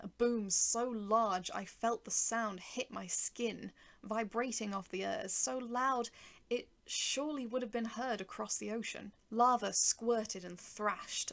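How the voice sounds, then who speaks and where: A woman reads a first-person story aloud with a creaky edge to her mid-pitched voice. Her delivery is expressive and deliberate, setting phrases apart with dramatic pauses. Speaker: author narrating own short story.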